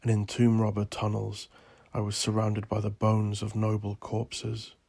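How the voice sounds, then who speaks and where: A man who is an author and podcast host, reading a scripted piece in a measured, solemn, dramatic manner. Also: lowish voice, completely flat delivery, vocal fry. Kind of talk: scripted dramatic gothic narration and podcast sign-off.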